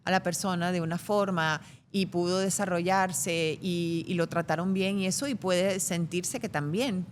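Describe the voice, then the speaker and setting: A middle-aged Venezuelan woman with a Venezuelan Spanish accent, speaking thoughtfully as an interview guest with frequent pauses and restarts. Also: fairly even intonation. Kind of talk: reflective interview conversation. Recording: faint background rumble.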